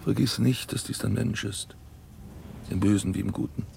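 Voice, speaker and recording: A grave, paternal confessor priest for radio drama. His hushed voice is very deep and extremely gravelly, admonishing yet calm, with clear ambient noise around it. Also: moderate pace. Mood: grave, consoling. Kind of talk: German radio drama acting.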